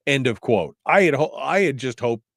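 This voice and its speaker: A man's voice: medium pitch, very animated, normal speed, a bit bright. A man hosting a political talk radio show, talking conversationally and often restarting his phrases for emphasis.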